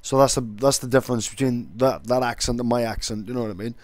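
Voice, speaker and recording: A man's voice: mid-range voice, fast, no echo. A man with a Welsh accent, explaining things casually as an online video creator.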